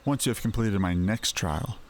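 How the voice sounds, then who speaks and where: A man's voice: lowish voice, normal speed, a little hiss or hum, close to an everyday voice. An actor playing an imperious, manipulative man who sets trials, speaking in formal, archaic phrasing in a video game. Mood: commanding. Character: imperious male quest-giver, testing and manipulative.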